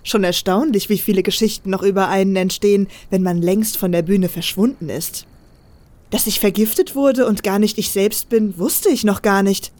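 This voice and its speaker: A woman's voice: mid-range voice, moderate pace, darkish tone, no echo, natural voice. A woman playing a confident, teasing female assassin with a mocking manner in an audio drama. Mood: teasing, amused. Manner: mocking, playful, self-assured. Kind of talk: German fan audio drama acting.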